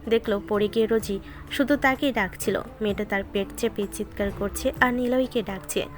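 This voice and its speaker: A woman's voice: medium pitch, brisk. A woman reading scripted narration of a romantic story, with music behind her voice.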